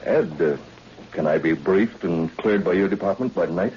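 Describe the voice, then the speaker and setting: A man's voice: low-pitched, normal speed, darkish tone, close to an everyday voice. A man playing an easygoing undercover agent under forty in 1940s radio style, on a muffled, hissy old recording. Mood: affable.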